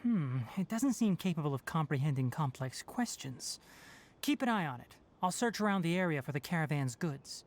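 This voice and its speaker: Actor as a scholarly young male forest ranger, measured, analytical, expressive intonation, game cutscene. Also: a little high, lightly acted. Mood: reasoned. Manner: measured, analytical, matter-of-fact. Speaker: forest watcher.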